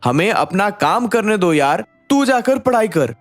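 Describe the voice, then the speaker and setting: A man voicing a cheeky, casual young friend in an animated story. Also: high voice, unhurried, soft background music. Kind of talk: animated story character dialogue.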